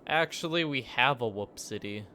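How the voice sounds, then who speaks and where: A man's voice: medium pitch, expressive, moderate pace, pushed and bright, slight background noise. Joking, sarcastic male streamer. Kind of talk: casual gameplay commentary.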